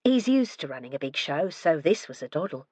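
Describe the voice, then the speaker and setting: A woman voicing a soft-spoken, gentle retired wife in an audiobook. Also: low-pitched, sing-song, fairly quick, husky, dry recording, exaggerated voice. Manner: gentle, a little prim.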